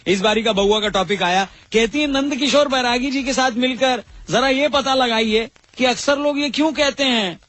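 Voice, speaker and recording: A man's voice: very high-pitched, normal speed, few pauses, fairly bright tone, no echo. A man presenting on radio, chatting casually as he introduces a segment. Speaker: radio host.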